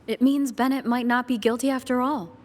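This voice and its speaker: A woman playing a loyal, gentle companion in a video game, speaking softly and reassuringly with a breathy voice. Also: a little high, fairly even intonation, brisk, big-room sound, close to an everyday voice. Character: loyal, supportive female companion, gentle and steadfast.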